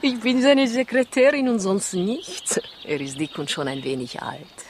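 A woman's voice: mid-range voice, lively intonation, unhurried, noisy background. An actress playing a poised, coaxing, patronizing Russian woman spy in a 1960s radio crime play. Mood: confiding, matter-of-fact. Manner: coaxing, condescending endearments.

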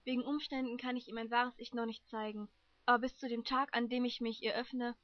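High-voiced gentle, secretive youth played by a woman. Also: flat delivery, fairly quick, lightly acted. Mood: gentle, composed. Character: soft-spoken, gentle, secretive long-haired youth. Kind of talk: amateur German anime fandub. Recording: muffled, dry home microphone.

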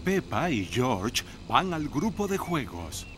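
A man's voice: mid-range voice, very animated, slow, fairly bright tone, a little hiss or hum. A man reading scripted narration for a children's cartoon.

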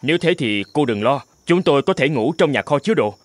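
An actor playing a young, easygoing and polite male traveller in an animated cartoon, with expressive delivery. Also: medium pitch, a little fast, no room sound, close to an everyday voice. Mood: polite, reassuring. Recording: music behind.

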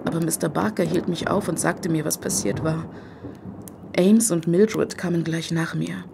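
A grieving widow and lady of the manor, speaks in a very low, creaky voice. She stays formal and guarded, in polished radio play style. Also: steady tone, normal speed, very noisy. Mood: grieving, subdued.

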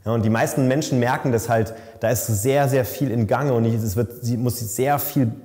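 A man's voice: mid-range voice, normal speed, mellow. A man working as a bike fitter, explaining thoughtfully in a lab, with stumbles and repeated words. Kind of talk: casual expert explanation. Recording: long reverb in a lab room.

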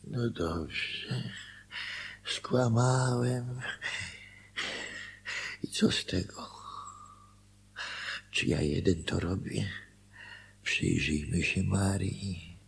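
An actor playing a self-deceiving husband in a Polish radio drama, thinking aloud, hesitant and anxious to justify himself. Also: male voice, low voice, extremely slow, vocal fry, pauses often, husky, full character voice.

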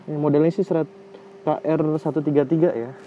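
A man's voice: a little high, steady tone, fast talker, muffled recording. A young adult man giving a casual hands-on motorcycle review, speaking in a colloquial, slangy and exclamatory way. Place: outdoors on a residential street. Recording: outdoor phone recording with background noise.

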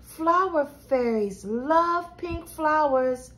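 A woman's voice: high voice, lively intonation, drawn-out words, pitched above the performer's own voice, exaggerated voice, rough recording. Woman as a dramatic, eager little girl.

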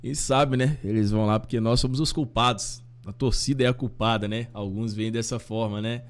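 A Brazilian man in his thirties hosting a fan channel, reacting casually to listeners' voice messages in a slangy, animated way. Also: mid-range voice, brisk. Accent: Brazilian Portuguese. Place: small home studio with fan decor. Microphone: studio mic on boom arm.

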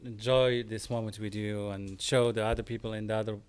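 A man in his forties from Morocco with a Moroccan accent, a guest composer in a casual studio interview, talking haltingly and restarting as he searches for words. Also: lowish voice, level tone, clear, resonant tone. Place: small studio with screens. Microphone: studio mic on stand, headphones.

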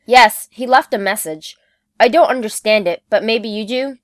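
A woman playing a brisk, practical female caretaker in an amateur online radio drama. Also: fairly high pitch, no echo, studio quality, lightly acted. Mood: matter-of-fact.

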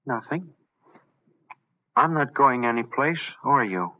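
An actor in an old radio drama playing an ordinary, halting man who has found menacing power. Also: medium pitch, animated. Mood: stubborn, menacing. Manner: halting, repeating words. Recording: muffled, phone-like vintage radio recording.

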